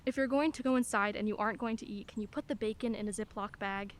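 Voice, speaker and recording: A woman's voice: mid-range voice, fast talker, close to an everyday voice. A woman playing a weary single mother. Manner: patient, pleading. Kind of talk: radio play acting.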